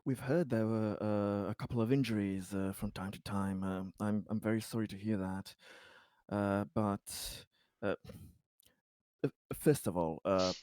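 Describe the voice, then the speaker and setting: A man voicing a polite, measured corporate executive in a tabletop sci-fi game, with halting phrasing. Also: fairly low pitch, steady tone, darkish tone, clear, resonant tone, no echo, studio quality, lightly acted. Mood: sympathetic, reassuring. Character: Conrad, smooth, polite corporate executive posing as surveyor.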